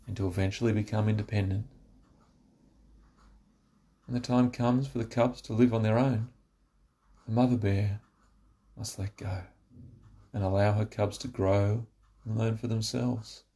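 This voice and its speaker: A man narrating a scripted guided relaxation, giving slow, instructive phrases with pauses. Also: on the low side, gravelly, dark tone.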